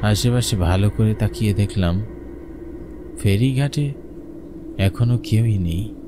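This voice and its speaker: A man's voice: lowish voice, measured pace, close to an everyday voice. A man voicing a frightened ordinary man who tells his own ghost story in dramatic horror cartoon style. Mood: tense narration. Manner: dramatic, fearful, pleading in dialogue. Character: frightened family breadwinner stranded among ghosts, narrating. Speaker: first-person narrator and protagonist. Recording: noisy ambience behind the voice.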